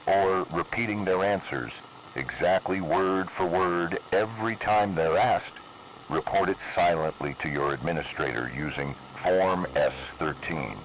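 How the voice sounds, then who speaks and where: A man voicing a cold, menacing emergency broadcast announcer in slow, measured, ominous narration. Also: deep voice. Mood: official. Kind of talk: scripted analog horror narration. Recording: noisy analog video recording.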